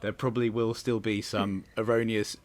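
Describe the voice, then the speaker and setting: Lowish-voiced man, chatting casually. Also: little pitch movement, normal speed, no echo. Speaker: director interviewed about an audio drama. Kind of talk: casual interview chat.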